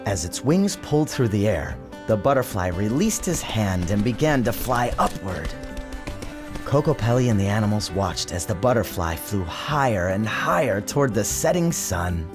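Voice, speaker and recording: Very animated male storyteller over music. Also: lowish voice, normal speed. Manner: theatrical, expressive. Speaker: author narrating his storybook. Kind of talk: dramatic scripted storybook narration. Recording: music and sound effects behind narration.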